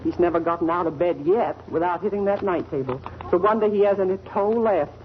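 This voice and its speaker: A woman's voice: on the low side, quick pace, close to an everyday voice. A woman playing a fretful, easily exasperated middle-aged mother and wife in a 1940s radio family comedy. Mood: worried, fussy. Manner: fussy, dramatic. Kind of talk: 1940s radio sitcom acting. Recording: muffled, noisy old radio recording.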